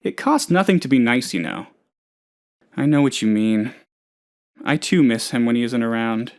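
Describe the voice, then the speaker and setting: A man's voice: medium pitch, animated, moderate pace, darkish tone, natural voice. A man playing an eccentric, eager, scatterbrained office worker in a comedic video game, rambling earnestly about absurd things. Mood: mildly indignant. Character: eccentric, eager, scatterbrained male office colleague, cheerfully odd.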